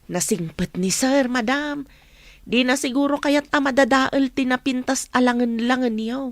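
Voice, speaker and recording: An actress playing a deferential, caring housemaid in a radio drama. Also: female voice, high-pitched, measured pace, dry recording. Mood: reassuring. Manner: polite, deferential.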